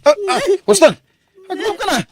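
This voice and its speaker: An actor playing a persistent, pleading suitor with an Ilocano accent in a radio drama. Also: male voice, very high voice, expressive, fairly quick, vocal fry, crowd noise, exaggerated voice. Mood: alarmed, protesting. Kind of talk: Filipino radio drama acting.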